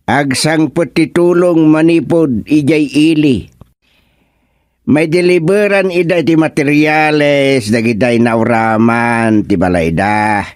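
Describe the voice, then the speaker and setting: An actor playing a talkative, comic village man in a radio comedy drama, with exclamatory, drawn-out delivery. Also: a little high, no room sound, studio quality. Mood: earnest.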